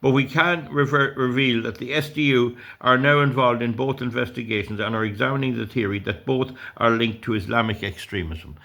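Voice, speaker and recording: A man's voice: mid-range voice, a little fast, creaky, few pauses, sharp tone. Ranting Irish man in his sixties. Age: 60s. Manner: indignant, rambling, stumbling over words. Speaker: political commentator. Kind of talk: reading out news and ranting.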